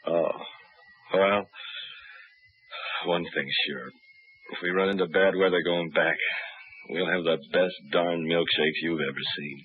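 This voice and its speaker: An actor playing an easygoing male cargo pilot in a 1950s radio drama, relaxed and joking. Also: sits low, unhurried, long pauses, heavy background noise. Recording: tinny old radio broadcast with music.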